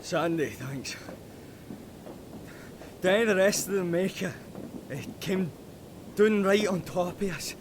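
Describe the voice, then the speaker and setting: Weak, trapped Scottish soldier, noisy. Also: male voice, sits high, a little slow, long pauses. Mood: weak, grateful. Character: wounded buried soldier, weak but grateful and wry. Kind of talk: audio drama acting.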